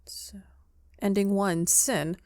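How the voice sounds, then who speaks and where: A woman's voice: medium pitch, steady tone, slow, a little mellow, no echo, clean studio sound. A woman giving casual, chatty gameplay commentary, talking affectionately to her viewers as host of her channel. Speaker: video game streamer, channel host.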